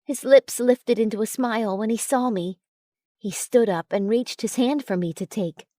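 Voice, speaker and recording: A woman reading a first-person story as a narrator, in an expressive way. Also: on the high side, normal speed, dry recording, clean studio sound. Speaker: audiobook narrator.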